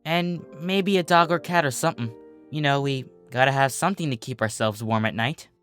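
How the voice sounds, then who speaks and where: Man voicing a brash, bright-voiced young athlete mare. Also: medium pitch, fairly quick, clear, resonant tone, soft background music, natural voice. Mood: tender. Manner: casual slangy, huffy. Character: brash, defensive, teasing young female athlete pony.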